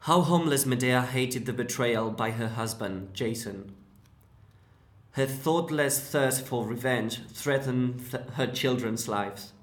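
A man with a Spanish accent reads aloud in unhurried, measured phrases. His voice sits at an ordinary middle pitch. The sound carries a roomy echo. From Spain. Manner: deliberate, with occasional restarts on words. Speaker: English learner reading aloud. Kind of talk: careful read-aloud narration.

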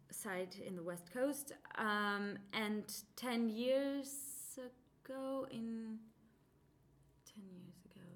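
Slow, careful young woman. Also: mid-range voice, fairly bright tone. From Mexico. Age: 20s. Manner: careful, deliberate, many ums. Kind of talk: reading a passage, then casual self-introduction.

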